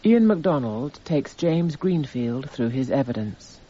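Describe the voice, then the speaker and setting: An actor playing a detached narrator who precisely catalogues evidence in a scripted radio drama. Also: male voice, mid-range voice, very animated, unhurried, mellow, a little hiss or hum. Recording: thin, phone-like narrow band.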